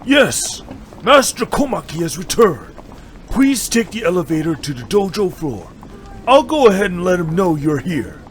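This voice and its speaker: A man's voice: sits high, sing-song, moderate pace, a little hiss or hum. A man playing an eager, respectful young dojo student in an audio drama, speaking with keen emphasis. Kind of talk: fan audio drama acting.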